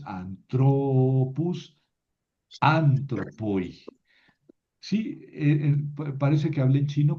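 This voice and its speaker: Slow, deliberate male teacher. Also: mid-range voice. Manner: recites word forms slowly and deliberately, thinks aloud. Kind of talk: slow classroom lesson on grammar. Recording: dull lo-fi recording.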